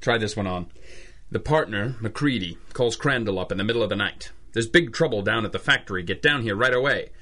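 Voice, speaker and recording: A man's voice: on the low side, a little fast, sharp tone. A man playing a hard-boiled, wisecracking private eye in an old-school radio detective drama, sardonic and clipped. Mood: speculating. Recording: music under the voice.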